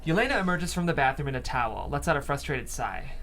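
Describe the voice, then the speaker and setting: A man's voice: mid-range voice, very animated, fast talker, fairly bright tone, slight background noise. A man reading scene headings and stage directions as narrator of a script table read.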